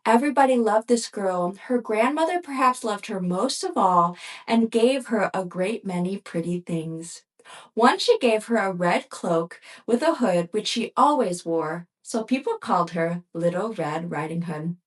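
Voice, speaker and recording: A woman reading a fairy tale aloud for children as the host of a storytime. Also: mid-range voice. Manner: slow, lively storybook reading. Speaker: storyteller and ventriloquist.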